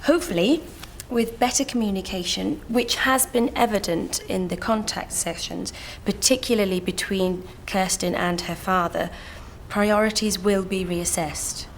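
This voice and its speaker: A woman's voice: mid-range voice, a little slow, few pauses, a little hiss or hum, close to an everyday voice. A woman in a British TV drama reading a careful, formal report on a family to the court. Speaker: children's guardian giving a report.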